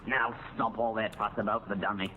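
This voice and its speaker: An actor playing a gruff, scoffing prison guard, scolding and dismissive, in a muffled 1940s radio drama recording. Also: male voice, lowish voice, little pitch movement, a little fast, sharp tone, slight background noise.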